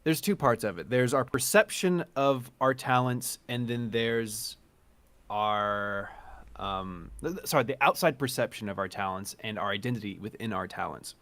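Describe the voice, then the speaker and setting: A man's voice: mid-range voice, normal speed, fairly bright tone, no room sound. A man hosting a casual chat about art and mindset, stopping often to restart and check his notes. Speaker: artist host interviewing a guest. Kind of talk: casual video call chat.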